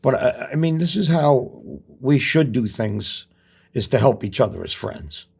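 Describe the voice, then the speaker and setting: A middle-aged man with a gravelly, rough-edged voice and a breathy, husky tone. He talks in an easygoing, conversational way and shares his view of life with earnest conviction. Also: mid-range voice, animated, measured pace, a little mellow. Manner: earnest, speaks in life lessons. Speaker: actor guest. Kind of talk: casual podcast chat.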